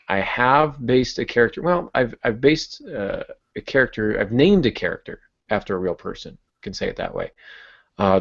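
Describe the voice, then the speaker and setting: A man's voice: lowish voice, creaky, no room sound. A man hosting a casual writing podcast, rambling along in a self-deprecating way over an online call. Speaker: podcast host and fiction author. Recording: dull, dry, lo-fi online call audio.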